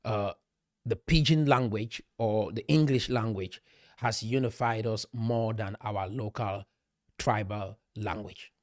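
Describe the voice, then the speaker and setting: A man's voice: lowish voice, slow, no room sound, clean studio sound. A middle-aged Cameroonian man with a Cameroonian accent, speaking emphatically to camera and often asking rhetorical questions. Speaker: commentator. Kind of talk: direct-to-camera monologue.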